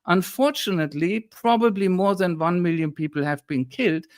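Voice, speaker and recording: A historian with a German accent argues his point in an emphatic, lecturing way, now and then indignant. His voice sits on the high side for a man. The sound is dry and close to the mic. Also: moderate pace, no room sound. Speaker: historian guest. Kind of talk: impassioned political discussion.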